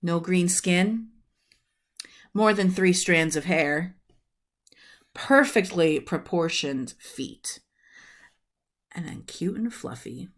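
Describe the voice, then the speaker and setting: Female storyteller, thirties, lowish voice, very unhurried children's read-aloud, recorded at home on a webcam. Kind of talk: reading a children's book aloud. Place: small room at home, indoors.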